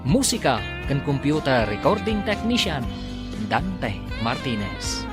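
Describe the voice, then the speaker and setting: A man announcing a radio drama series, formal and measured in his scripted delivery. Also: medium pitch, very animated. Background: music.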